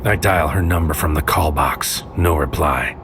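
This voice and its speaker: An actor playing a tormented male protagonist, narrating a sci-fi mystery drama in a grave, deliberate, poetic way. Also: very deep voice, creaky, very noisy. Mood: restless, grim. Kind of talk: first-person scripted audio drama narration. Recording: music behind the voice.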